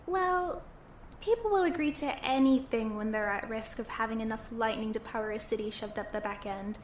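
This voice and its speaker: A woman's voice: on the high side, normal speed, slight background noise, close to an everyday voice. A British woman playing a bubbly, foul-mouthed young little sister in a comic audio drama, exuberant and cheeky, with echo on the voice. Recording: echoey, reverberant voice.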